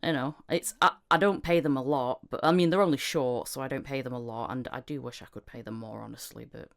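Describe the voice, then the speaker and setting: A woman hosting a casual podcast chat, talking in a halting, rambling, self-deprecating way. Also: a bass voice. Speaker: podcast host and voice actor.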